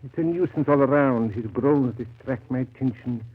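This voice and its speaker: An actor playing a fussy, callous, bookish company accountant in a 1930s radio drama, with hesitant, precise speech. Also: male voice, medium pitch, moderate pace, slightly rough, close to an everyday voice. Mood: irritated. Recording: muffled, tinny 1930s radio broadcast.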